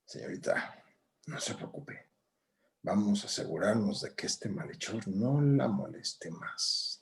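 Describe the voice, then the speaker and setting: A man's voice: on the low side, expressive, normal speed, creaky. Commanding old vigilante lawman, acted by a man. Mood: reassuring. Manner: commanding, terse, switches into Spanish. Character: stern old self-appointed vigilante lawman, gentlemanly. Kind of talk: screenplay table read acting.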